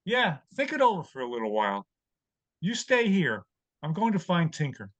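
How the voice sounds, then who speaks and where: A man playing an aging, weary, gentle mouse who speaks as a calm, fatherly mentor in an audio drama. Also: a little high, moderate pace, exaggerated voice. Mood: calm, philosophical.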